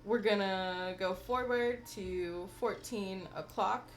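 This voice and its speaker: A woman's voice: medium pitch, slow pace, a little hiss or hum. A woman casually commentating a game livestream and chatting with viewers, enthusiastic and full of gamer slang. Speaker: game streamer.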